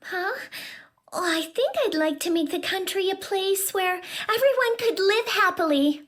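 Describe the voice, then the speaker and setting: A woman's voice: very high-pitched, unhurried. An actress voicing a sweet, innocent twelve-year-old princess in an anime audio drama, girlish, earnest and polite. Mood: cheerful, earnest. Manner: girlish, bright-eyed, polite. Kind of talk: English-dub anime audio drama acting.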